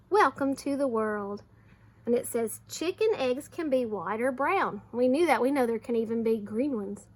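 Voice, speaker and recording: High-voiced woman reading aloud to children. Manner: expressive, explains simply to young children. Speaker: teacher. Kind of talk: children's read-aloud with teaching asides.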